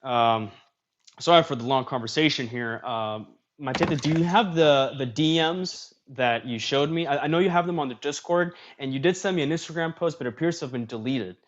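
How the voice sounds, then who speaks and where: A man's voice: medium pitch, normal speed, dull audio. A man hosting a livestream in a casual, talkative way, reading social media posts aloud and commenting on them. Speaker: streamer host.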